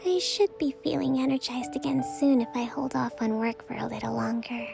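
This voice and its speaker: A woman playing a gentle, weary young priestess leader in a video game, breathy, polite and confiding in measured phrases. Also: high voice, fairly even intonation. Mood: tired, calm. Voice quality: soft, breathy. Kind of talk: video game character dialogue. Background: soft game music.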